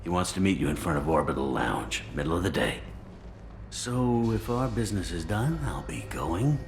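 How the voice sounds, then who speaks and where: A man's voice: low-pitched, sing-song, normal speed, noisy background. A man playing a sleazy, slippery criminal fixer in a video game, talking with a sardonic manner. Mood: nervous, sardonic. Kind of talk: dramatic video game acting.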